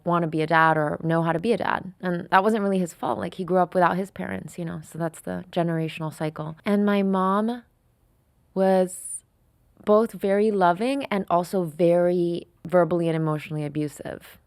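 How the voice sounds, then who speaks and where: A woman's voice: fairly low pitch, dry recording, studio quality. A woman in her thirties from France, speaking openly and reflectively as a podcast guest, with frequent fillers. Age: 30s. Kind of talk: candid interview conversation.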